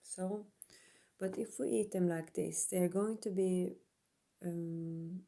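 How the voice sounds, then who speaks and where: A woman with a Swedish accent doing a casual tarot reading, hesitant and full of pauses, speaking English as a second language. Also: on the low side, steady tone, creaky, mellow. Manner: hesitant, many ums and pauses. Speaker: tarot reader. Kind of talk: slow casual card reading.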